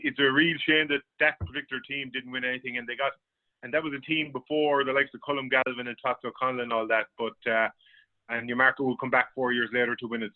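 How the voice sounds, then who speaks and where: An Irish man, a sports journalist, recapping a match in a radio sports discussion. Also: mid-range voice. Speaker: sports journalist, guest pundit. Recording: thin phone-line radio sound.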